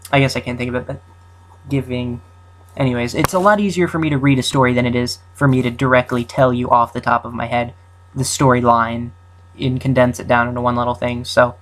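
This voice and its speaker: A young man hosting his own channel, talking off the cuff in a halting, rambling way with many fillers and restarts. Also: mid-range voice, a bit bright, slight background noise, low-quality recording. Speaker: channel host. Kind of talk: casual unscripted countdown commentary.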